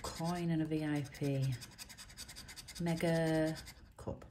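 A woman, croaky from a chest infection, chatting casually while she reads out letters and symbols on scratch cards at a table indoors. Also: deep voice, fairly even intonation, slow pace, mellow, a little hiss or hum. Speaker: scratch card vlogger. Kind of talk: casual commentary.

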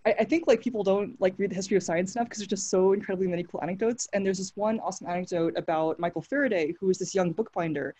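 Brief: young woman, American accent, quick eager talker, video-call guest. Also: medium pitch, mellow. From New Zealand. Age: young adult. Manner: fast, fillers and restarts, enthusiastic. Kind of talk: casual interview answers. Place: home room, indoors.

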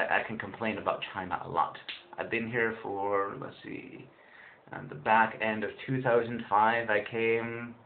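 A young man in his twenties talks casually to his webcam, wandering through his thoughts with plenty of ums and restarts. His voice sits a little low. The audio is thin and phone-like, with a faint hiss underneath. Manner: rambling, many fillers and restarts. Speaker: vlogger, English teacher in China. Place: small room indoors. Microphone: webcam built-in mic, close.

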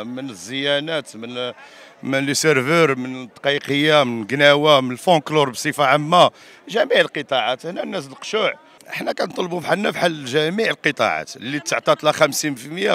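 A middle-aged man speaks in an outdoor street interview. His voice is forceful and sharp, at an average pitch, and he moves along quickly. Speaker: interviewee, event caterer. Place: open square outdoors, crowd behind. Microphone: handheld reporter microphone.

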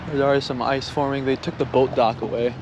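A mid-pitched man talks his viewers through an outdoor outing in a relaxed, easygoing way. He shrugs off small setbacks as he goes. His voice sits over clear outdoor background noise from a handheld camera. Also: quick pace. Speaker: outdoor vlogger. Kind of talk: casual walk-and-talk vlog narration. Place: outdoors by a lake and trails.

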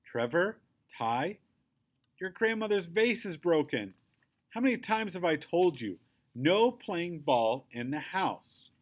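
A man acts out an exasperated mother from a children's book. The scolding cries are pitched up and lively. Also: slow, full character voice. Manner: crying out, scolding.

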